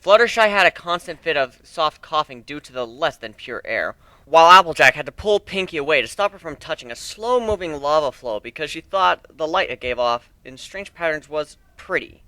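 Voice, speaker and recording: A man's voice: fairly high pitch, expressive, moderate pace, hardly any pauses, pushed and bright, no room sound. A man narrating fan fiction as a dramatic reading of a scripted story.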